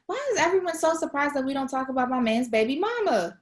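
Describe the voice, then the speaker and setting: A high female voice for a wry, defensive young woman acted by a woman at a table read. Her delivery is casual and sarcastic, with an awkward edge. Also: sing-song, quick pace.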